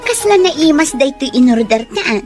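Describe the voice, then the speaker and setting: A woman's voice: high voice, lively intonation, fairly quick, over music. A woman playing a bold, watchful young friend in an audio drama, frank and nosy in manner. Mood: suspicious.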